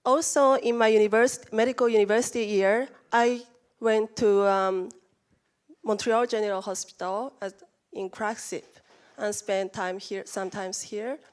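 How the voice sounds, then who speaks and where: Slow, careful Japanese-accented woman speaker. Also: mid-range voice, fairly even intonation. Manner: halting, careful non-native phrasing with restarts. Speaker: conference speaker. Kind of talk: presentation talk. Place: conference room.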